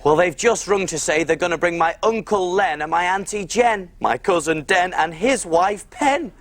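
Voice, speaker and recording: A man's voice: sits high, normal speed, dry recording, close to an everyday voice. A man playing a friendly big cook who presents simple step-by-step cooking on a preschool show, in an encouraging way. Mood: worried. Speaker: children's cookery presenter.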